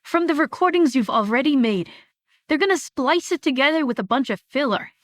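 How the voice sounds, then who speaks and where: A woman's voice: sits high, lively intonation, brisk, no room sound. A woman playing a skeptical, level-headed young streamer in a scripted audio drama, dry and blunt. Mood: exasperated, warning.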